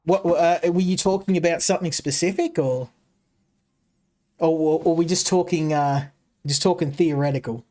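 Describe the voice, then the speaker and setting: A man with a broad Australian accent narrates his game as he plays. His voice sits a little high for a man, and he rambles and circles back over words while thinking aloud. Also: fairly even intonation, moderate pace, warm tone. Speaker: game streamer. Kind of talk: casual gameplay commentary.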